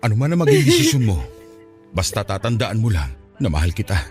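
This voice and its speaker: A man's voice: on the low side, wildly swooping pitch, a little fast, a little mellow. A man acting a devoted, protective friend in love, earnest and emotional, in a Filipino radio drama. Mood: tender, aching. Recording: music bed behind the voice.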